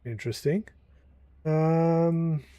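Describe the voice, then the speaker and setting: Slow, mellow-voiced gaming commentator. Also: male voice, on the high side, smooth, no echo. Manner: thinking aloud, unhurried, with fillers. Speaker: gaming YouTuber. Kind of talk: casual let's-play commentary.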